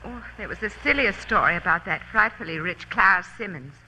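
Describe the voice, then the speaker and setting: Worldly society woman, catty, teasing, sharp tone, vintage radio drama, slight background noise. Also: mid-range voice, expressive, fairly quick. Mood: gossipy. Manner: bored, catty, flirtatious. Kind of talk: 1940s radio drama acting. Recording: faintly noisy old radio recording.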